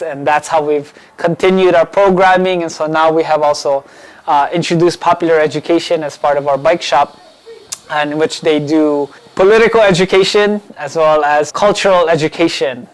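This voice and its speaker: Halting man with many fillers. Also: a little high. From Hawaii, born in the Philippines. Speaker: program manager of a youth bike shop. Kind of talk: spoken welcome and introduction. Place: community bike shop, on site.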